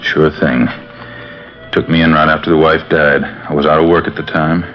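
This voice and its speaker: A man's voice: extremely low pitch, level tone, moderate pace. An actor playing a weary, brooding elderly father-in-law in a 1950s radio drama, rambling in a folksy, repetitive way. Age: older. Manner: rambling, folksy, repeats himself. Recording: muffled vintage radio, music behind.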